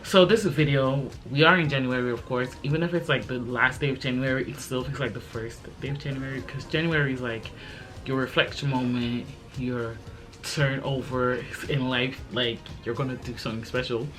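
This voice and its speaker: A woman's voice: very deep voice, steady tone, moderate pace, fairly bright tone, rough recording. Twenties woman rambling in a chit chat vlog, lots of likes and ums, faint music behind. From Belgium. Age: 20s. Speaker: YouTuber.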